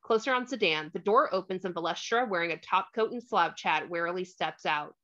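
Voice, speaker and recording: Woman narrator, lowish pitch, scripted stage directions, slightly muffled lo-fi recording. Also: a little fast, fairly bright tone. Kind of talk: scripted narration, screenplay table read.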